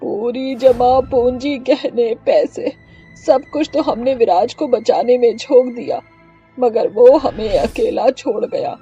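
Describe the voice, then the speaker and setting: A woman's voice: on the high side, takes it slowly, dark tone, breathy edge, faint music behind, exaggerated voice. A woman voicing an anxious, grieving young wife in a story, pleading and sorrowful, on muffled audio. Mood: despairing.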